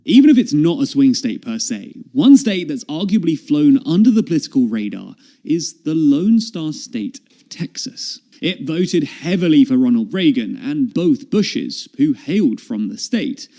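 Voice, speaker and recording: A man reading a scripted political explainer in a measured, explanatory way. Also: a little high, big pitch swings, mellow. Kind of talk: scripted narration.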